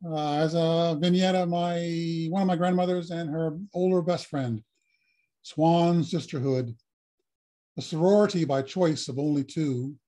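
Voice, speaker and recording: Higher-pitched, mellow man reading poetry. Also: steady tone, a little slow. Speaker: poet at an open mic. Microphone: video call.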